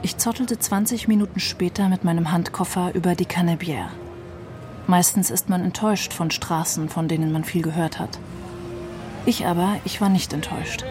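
A woman's voice: on the low side, normal speed, noisy background. A woman giving a literary reading of a first-person novel. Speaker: reader of literary excerpts. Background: music.

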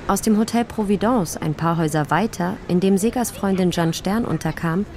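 A woman's voice: fairly low pitch, normal speed, crowd noise. A woman narrating a radio documentary from a script.

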